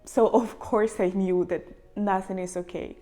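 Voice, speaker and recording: A young woman with a Ukrainian accent, telling a personal story to camera in a halting, emotional way, close to tears. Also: medium pitch, steady tone, dark tone, soft background music. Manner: emotional, halting, near tears, with many pauses and fillers. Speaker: vlogger.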